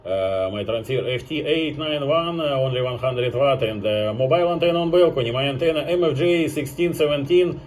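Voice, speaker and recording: A man's voice: mid-range voice, a little fast, hardly any pauses, darkish tone, a little hiss or hum. A man working as an amateur radio operator, exchanging short repetitive phrases and phonetic spellings in ham radio contacts.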